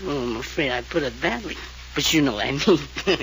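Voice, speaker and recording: Tipsy, swooping, flippant gentleman. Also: medium pitch, lively intonation, normal speed, gravelly. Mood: tipsy, genial. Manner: drunken, rambling, giggly, mock-polite. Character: tipsy, flippant, well-bred man of the house. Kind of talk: 1940s radio mystery acting. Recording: noisy old radio transcription.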